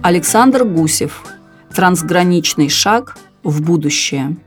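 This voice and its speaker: A woman's voice: fairly low pitch, lively intonation, slow pace. A woman announcer reading a scripted programme intro. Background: music.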